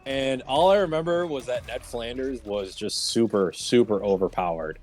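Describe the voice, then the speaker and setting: A man's voice: mid-range voice, moderate pace, a little mellow. A man hosting a casual round table chat about entertainment, speaking in an informal, conversational way.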